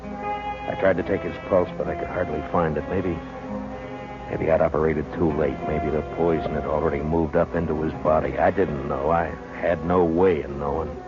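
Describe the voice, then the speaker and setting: Muffled old radio, deep stern American lawman. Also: fairly even intonation, over music. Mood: weary, somber. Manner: terse, measured, authoritative. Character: stern, deep-voiced US Marshal of the Old West. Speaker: lead actor, also narrates the story. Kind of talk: 1950s radio western acting. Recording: muffled, noisy 1950s radio recording.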